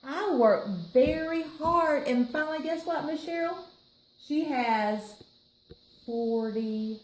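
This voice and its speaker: A woman's voice: sits high, takes it slowly. An American woman reading a picture book aloud to children, adding chatty explanatory asides as she goes. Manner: explanatory, chatty, addressing listeners and co-reader. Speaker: library storytime reader. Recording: roomy echo, faint background noise.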